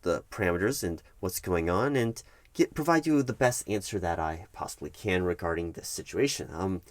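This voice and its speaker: Male, low voice, dry close sound. Plays a firm corporate HR manager in a conversational office roleplay, measured and officious. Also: lively intonation, dry recording. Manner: measured, explanatory, officious, halting emphasis. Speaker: roleplay performer as HR recruiting manager. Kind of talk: one-sided ASMR office roleplay, conversational.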